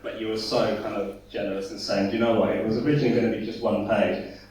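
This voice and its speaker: A man, an illustrator, talking through his work on a conference panel in a relaxed, hesitant way with many pauses. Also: on the low side. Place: conference hall. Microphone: distant room mic. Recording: echoey hall, distant mic.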